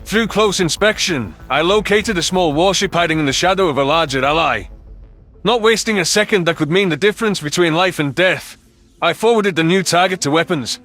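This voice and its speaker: A man's voice: high voice, fairly quick, a bit bright, faint music behind. A man reading scripted first-person science fiction narration as an audiobook narrator.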